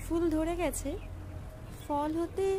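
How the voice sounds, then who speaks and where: A woman's voice: very high-pitched, fairly even intonation, takes it slowly, background noise. A woman narrating a vlog in a scripted style, as its host. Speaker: vlogger. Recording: loud music over the voice. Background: loud music.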